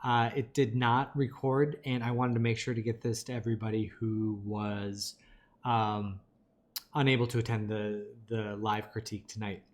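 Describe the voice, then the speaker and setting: A man giving an informal online lecture as an instructor, his voice a little raspy and tired from talking, explaining things with emphasis on key points. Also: fairly low pitch, little pitch movement, normal speed. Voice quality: slightly raspy. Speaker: animation lighting instructor.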